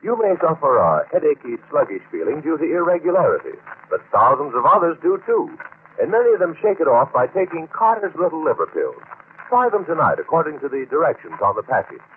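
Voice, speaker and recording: A man's voice: a little high, very animated, fairly quick. A man delivering a scripted 1940s radio commercial as an announcer. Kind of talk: 1940s radio advertising pitch. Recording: tinny, noisy old-time radio recording.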